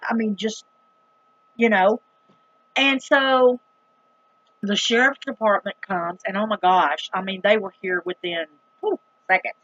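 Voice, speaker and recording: A middle-aged woman speaks with a Southern US accent, spinning a story for her online audience. Her delivery is folksy, blunt and emphatic, with a middling pitch. The recording is dry and slightly muffled. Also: animated, measured pace, long pauses, a bit bright, dry recording. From rural United States. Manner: emphatic, folksy, plain-spoken. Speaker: vlogger. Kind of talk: casual dramatic storytelling to viewers.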